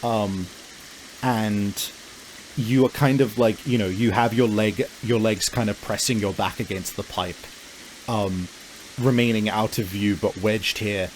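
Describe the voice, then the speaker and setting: A man improvising scene descriptions as a game master, halting as he searches for words. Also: fairly low pitch, steady tone, a little hiss or hum, rough recording. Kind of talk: improvised tabletop game narration.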